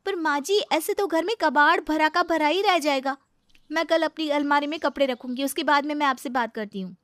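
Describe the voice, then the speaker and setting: A woman's voice: high-pitched, fairly quick, a bit bright, no echo, clean studio sound. A woman playing a young, polite but exasperated new daughter-in-law in a comic family story, with a pleading, plaintive manner. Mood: firm, complaining.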